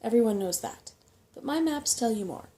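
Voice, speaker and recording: A woman voicing a self-important, grasping little male rat mapmaker in a soft-spoken storybook reading, with a fussy, portentous manner. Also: a little high, lively intonation, normal speed, slight background noise, close to an everyday voice. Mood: portentous, instructive. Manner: portentous, fussy, easily affronted.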